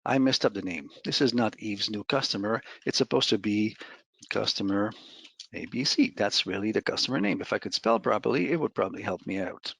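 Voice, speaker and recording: A man presenting a software demo in an informal, conversational way, explaining each step as he goes over a screen share. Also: on the low side, expressive, brisk, vocal fry, husky.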